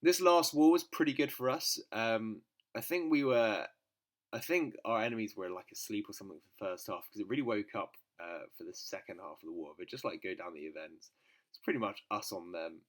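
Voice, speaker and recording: A man's voice: fairly low pitch, brisk. A British man giving casual gameplay commentary in a nasal voice, congested with a cold, in an informal, hesitant manner. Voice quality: nasal from a blocked nose. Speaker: gaming channel host.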